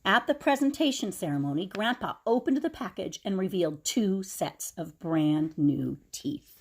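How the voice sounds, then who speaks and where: A woman reading a picture book aloud to children in a dramatic, expressive way, with chatty explanatory asides. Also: medium pitch, moderate pace.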